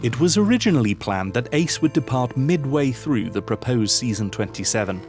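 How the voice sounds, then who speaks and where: A man narrating a scripted analytical video essay in a formal, measured, literary manner. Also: mid-range voice.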